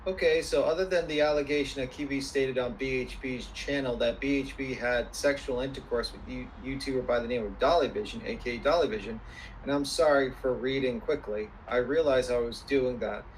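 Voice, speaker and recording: A man questioning a witness as an attorney, formal and persistent, repeating questions deliberately. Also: medium pitch, a little hiss or hum, rough recording. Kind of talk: formal deposition cross-questioning.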